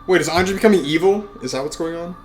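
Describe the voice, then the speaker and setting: A man's voice: on the high side, racing, slight background noise. A man who hosts a let's play reads a visual novel aloud and adds casual asides with swearing.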